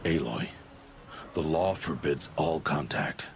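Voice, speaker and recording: A man playing a stern, solemn middle-aged outcast hunter and foster father in a video game, speaking in a grave, measured, formal way. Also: very deep voice, vocal fry, a little hiss or hum, heavily acted. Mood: grave, reluctant. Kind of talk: video game cutscene voice acting.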